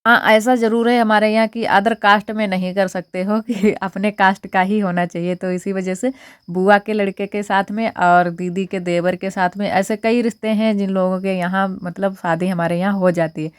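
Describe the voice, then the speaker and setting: Hoarse young woman chatting while cooking. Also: mid-range voice, fairly even intonation, normal speed, no room sound, studio quality. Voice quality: husky, strained voice. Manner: chatty, homely step-by-step narration. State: hoarse from a cold. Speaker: village vlogger. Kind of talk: casual cooking vlog narration. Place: village home kitchen.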